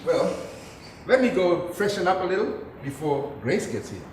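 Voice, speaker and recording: A man's voice: high-pitched, very animated, normal speed. A man acting a happy, smitten older father in a stage play. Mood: pleased, smitten. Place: indoor theatre stage, recorded from far off. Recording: echoey theatre, distant mic, clear noise.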